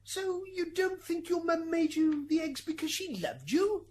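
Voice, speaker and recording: A woman's voice: very high-pitched, big pitch swings, a little slow, darkish tone, heavily acted. A woman acting a fussy, stammering defence lawyer in an amateur radio drama courtroom. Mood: probing. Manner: stammering, pointed courtroom questioning. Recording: hissy amateur recording, background noise.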